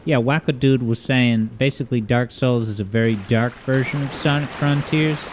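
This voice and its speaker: A man hosting a gaming livestream from home, reading viewer comments aloud in a rambling, joking, self-deprecating way, with a smooth voice. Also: mid-range voice, moderate pace. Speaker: livestream host. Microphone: streaming mic with boosted EQ. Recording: steady background noise.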